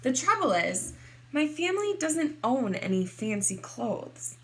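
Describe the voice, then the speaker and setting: A woman reading a picture book aloud expressively to kindergarteners, in a deliberate teacherly way. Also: high voice, very animated, fairly bright tone, low-quality recording. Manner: deliberate, clearly addressed to small children.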